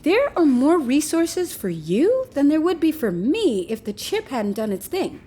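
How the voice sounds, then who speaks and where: A woman's voice: high-pitched, wildly swooping pitch, measured pace, warm tone, heavily acted. An actress playing a breezy, sardonic, slangy woman who teases her panicking male partner in a naturalistic audio drama. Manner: flippant, teasing, slangy.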